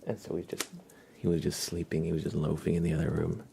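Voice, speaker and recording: Hushed, deep-voiced man doing ASMR. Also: monotone, fast talker, creaky, a little hiss or hum. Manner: gentle, hushed, drifting into whispers. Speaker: livestream host. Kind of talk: soft-spoken ASMR livestream chat, often whispered.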